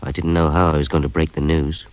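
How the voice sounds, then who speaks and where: A man's voice: a bass voice, little pitch movement, fast, no room sound. A man playing a wry, self-doubting police detective, confiding in a radio crime drama. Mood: brooding, wry. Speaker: narrator and lead detective character. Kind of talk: radio crime drama, first-person narration and dialogue.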